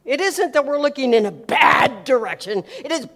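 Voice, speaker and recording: A woman with a somewhat high voice gives an informal talk. She tells anecdotes as a teacher would, leaning hard on key words, repeating them, and slipping into quoted dialogue to make each point. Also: animated, normal speed. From America and Switzerland. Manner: emphatic, repeats words for effect, acts out dialogue. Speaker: speaker giving a talk on faith and business.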